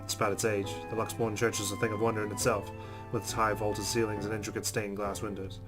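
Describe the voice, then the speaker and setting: A man's voice: fairly low pitch, level tone, fast, over music. A man reading a visual novel aloud as a let's play host, in a narrating style.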